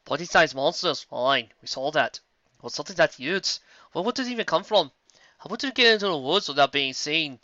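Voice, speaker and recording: A man's voice: a little high, lively intonation, moderate pace, pushed and bright, no room sound, highs cut off. A man voicing a blunt, skeptical pony mare who talks curtly and dismissively. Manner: curt and dismissive.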